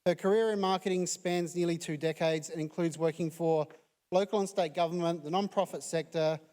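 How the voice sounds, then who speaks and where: A man serves as emcee at a conference and introduces the next speaker. His voice is high for a man and he talks in a casual, teasing way. A long hall reverb trails after his words. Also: darkish tone. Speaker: event emcee.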